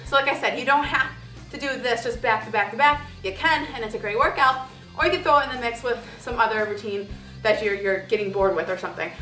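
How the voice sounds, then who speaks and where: A woman's voice: on the high side, fast talker, bright, forceful tone, recorded from a distance. A woman in her thirties giving upbeat workout instruction and counting out reps, recorded in a home gym by a camera across the room. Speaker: fitness trainer. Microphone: camera mic from across the room. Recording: echoey room, voice from afar, faint music.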